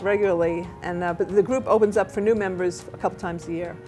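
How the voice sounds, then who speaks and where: A woman hosting a local TV talk show, chatty and animated, often tripping over her words. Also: lowish voice, fast, faint music behind. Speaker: talk show host and therapist. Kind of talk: casual local TV interview chat. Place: local cable TV studio.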